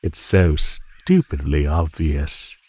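A man voicing a teasing, menacing male naga in an ASMR roleplay, speaking in a mocking, condescending drawl with pauses. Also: rumbling low, a little mellow, husky, no room sound, heavily acted. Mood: gleeful belittling. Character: teasing, menacing male naga predator toying with captive prey.

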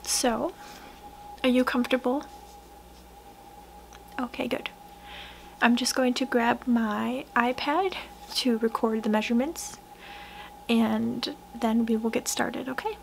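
A young woman speaks in a high, hushed voice at a very unhurried pace, as in an ASMR roleplay. Her gentle delivery often drops into a whisper. A noticeable low noise sits behind her. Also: creaky, poor audio. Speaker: ASMR roleplayer playing a portrait painter. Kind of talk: soft-spoken ASMR roleplay. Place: small wood-panelled room indoors.

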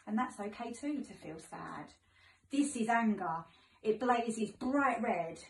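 British female primary teacher, higher-pitched, gentle storytime delivery for little ones, recorded at a distance from the mic. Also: takes it slowly, a little hiss or hum. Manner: expressive, gentle, simple phrasing for children. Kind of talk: storybook read-aloud for young children. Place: living room, indoors. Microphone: phone camera a few metres away. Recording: echoey room, far from mic.

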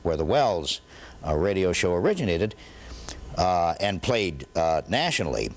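Low, very animated American man reminiscing. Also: unhurried. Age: middle-aged. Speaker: interview guest. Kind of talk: interview recollection.

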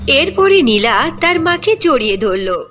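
Female narrator for cartoon fairy tales, high-pitched and very expressive. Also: a little slow. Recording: music behind the voice.

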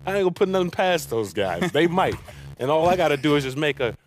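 An actor playing a proud, middle-aged American former basketball pro, folksy and needling, in a game cutscene. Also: male voice, a little high, very animated, quick pace. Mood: proud banter. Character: older former pro basketball mentor, gruff, teasing, proud. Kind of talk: naturalistic game cutscene acting.

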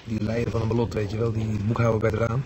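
A man's voice: on the low side, monotone, fast talker, a crowd in the background, natural voice, low-quality recording. An actor playing a blunt, teasing married man who is a hospital patient, in a naturalistic radio drama. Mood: complaining.

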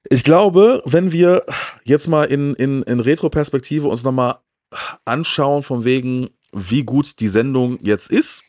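A middle-aged man with a medium-pitched voice talks casually into a close handheld mic. The sound is dry. He is enthusiastic and slangy, often repeating words as he searches for the next one. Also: a little slow, darkish tone, no room sound. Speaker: video show host. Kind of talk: casual chat about retro cartoons. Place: small indoor room with shelves of films and games. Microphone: handheld microphone held close.

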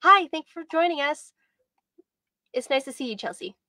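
A woman's voice: high-pitched, animated, normal speed, bright, forceful tone, no room sound, studio quality. A young woman hosting a video stream and reading stories aloud in a storybook style. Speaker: host and writing instructor. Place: indoors by bookshelves, on a video stream.

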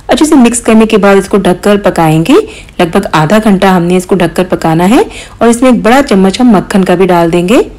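A woman hosting a cooking channel, calmly explaining each step of a recipe in voice-over, with occasional small hesitations. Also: mid-range voice. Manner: calm step-by-step instruction with mild hesitations.

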